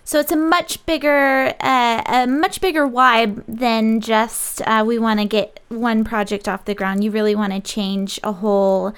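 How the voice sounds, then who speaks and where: A bubbly woman hosts a live online interview show, her voice high and bright. She gushes over her guests and signs off with effusive thanks, speaking over a video call. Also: flat delivery, unhurried, hardly any pauses, smooth. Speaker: host of live web show. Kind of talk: upbeat live-show hosting.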